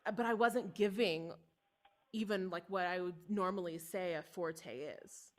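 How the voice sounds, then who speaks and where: A young woman, a singing student on a video call, speaking softly and hesitantly with many fillers. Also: on the low side, fairly even intonation, moderate pace. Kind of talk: masterclass conversation.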